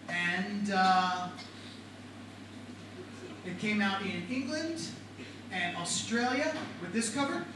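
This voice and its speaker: Middle-aged guy teaching a class, high-ish voice, super echoey room, mic way off. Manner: animated, talks to the class with questions. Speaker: writing and rhetoric teacher. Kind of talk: classroom lecture. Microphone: far from the mic. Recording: echoey classroom from far off, noisy.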